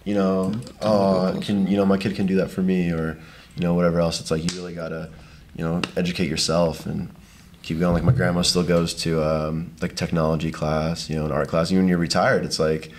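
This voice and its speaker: A man's voice: deep voice, level tone, darkish tone, a little hiss or hum. A man who is a podcast guest and keeps agreeing and affirming in a casual chat. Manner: agreeable, many affirmations, fast talker.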